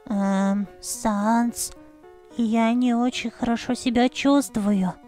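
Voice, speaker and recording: Woman playing young skeleton boy, earnest, excitable, very high voice, slow pace, comic dub. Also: soft background music. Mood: unwell, uneasy.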